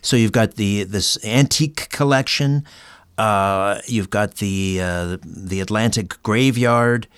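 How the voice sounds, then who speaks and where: A man hosting a conversational interview, putting his questions in halting, deliberate phrases. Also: on the low side, clear, resonant tone, no echo, clean studio sound. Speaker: interview show host.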